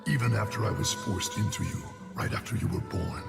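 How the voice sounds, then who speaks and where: A man voicing an ancient giant fox spirit in a dubbed anime, with a gruff growl and a wry, resigned, weighty delivery. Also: brisk. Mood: brooding, reflective. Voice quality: deep gravelly growl. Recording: cavernous reverb under loud music.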